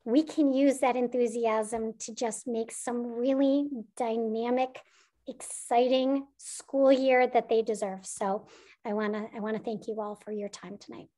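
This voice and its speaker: A woman's voice: on the high side, fairly even intonation, measured pace, mellow. A woman school administrator answering parents' questions in an online information session, reassuring and with frequent ums.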